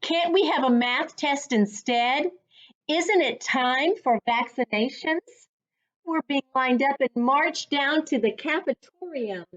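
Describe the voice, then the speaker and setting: A woman reading a children's story aloud as a reading coach, with a deliberate, instructive, teacher-like delivery. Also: high voice, a bit bright. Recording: dull lo-fi sound.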